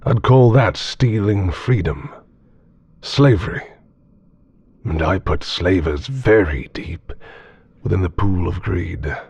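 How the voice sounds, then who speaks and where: Deep, husky, haughty immortal avenger acted by a man. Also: lively intonation, darkish tone, dry recording, exaggerated voice. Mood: cold threat. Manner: deliberate, condescending, theatrical pauses. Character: powerful immortal male avenger, haughty, coldly menacing. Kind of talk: dark fantasy audio drama.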